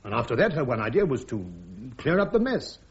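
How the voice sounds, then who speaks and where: An actor playing a defiant British army major defending himself in a radio courtroom drama, with a clipped, sardonic, insistent manner. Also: male voice, fairly high pitch, sing-song, a little fast, creaky. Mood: defiant, wry. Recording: dull radio drama recording.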